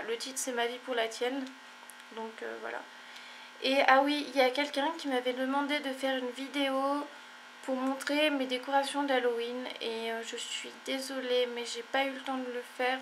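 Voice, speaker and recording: Young female vlogger, congested and tired, meandering delivery, flat intonation. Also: on the high side, gravelly, background noise. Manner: rambling, many fillers and apologies. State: stuffy, congested. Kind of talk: casual chat to camera.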